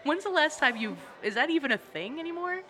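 A woman's voice: sits high, expressive, fairly quick, bright, forceful tone. A woman co-hosting a podcast in casual chat in a coffee shop, often giggling through her sentences. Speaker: podcast co-host.

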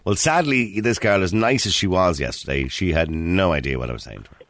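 A man's voice: deep voice, animated, fairly quick, a bit bright, dry recording. An Irish man hosting a talk radio phone-in, chatty and emphatic as he talks with callers in the studio. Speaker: radio talk show host.

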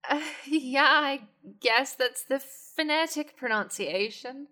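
A woman's voice: high voice, takes it slowly, pushed and bright, heavily acted. A woman playing a shy Irish job seeker. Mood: awkward. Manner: hesitant, awkward, many ums. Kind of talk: comedy sketch acting.

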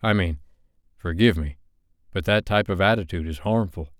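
A man voicing a blunt, stern, irritated man with a deep voice in an audiobook. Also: moderate pace, dry recording, studio quality, close to an everyday voice.

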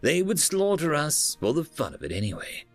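A man's voice: fairly high pitch, very animated, normal speed, dry recording, soft background music, pitched above the performer's own voice. A man voicing a dutiful, wary alien warship captain with a formal, commanding manner in a science fiction story.

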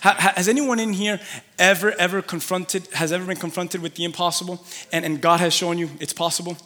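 A man's voice: high-pitched, steady tone, brisk, sharp tone. A man preaching to a congregation and inviting testimonies, stumbling and repeating words, then calling for responses. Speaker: pastor. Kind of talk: sermon with call for testimonies.

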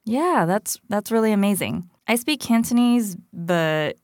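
A woman's voice: medium pitch, expressive, unhurried, darkish tone, no room sound, clean studio sound. A woman hosting a podcast, moving between scripted personal narration and interview talk. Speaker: podcast host.

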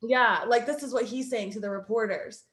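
High-voiced woman in an echoey room. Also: normal speed. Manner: animated retelling with acted-out quotes, swearing. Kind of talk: casual group banter.

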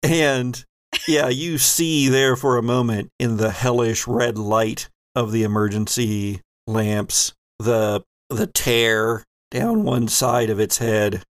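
A man's voice: medium pitch, takes it slowly, no echo, clean studio sound. A man acting as game master, narrating a horror tabletop game in a descriptive, suspenseful way.

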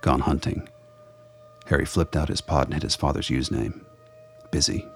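A man's voice: a bass voice, fairly even intonation, moderate pace, vocal fry, faint music behind. A man narrating a radio play in a scripted, noir-like storytelling style.

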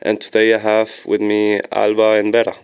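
A young man from Switzerland hosting a student podcast, measured and reading from notes as he thanks each guest. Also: on the low side, monotone, smooth, low-quality recording. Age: young adult.

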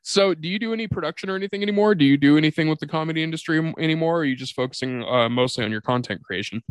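A man's voice: a little high, rapid-fire, dry recording. A man hosting a podcast in a casual, informal chat, swearing freely and repeating phrases for emphasis. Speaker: podcast host.

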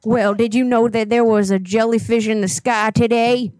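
A woman's voice: on the high side, steady tone, normal speed, darkish tone, no room sound, close to an everyday voice. A woman voicing a foul-mouthed, angry old woman prank caller who rambles threats and curses. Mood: furious. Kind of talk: prank phone call.

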